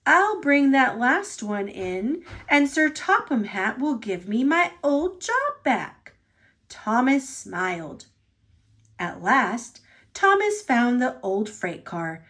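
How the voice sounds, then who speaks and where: A woman reading a children's storybook aloud as narrator, with expressive delivery. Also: on the high side. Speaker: storybook reader.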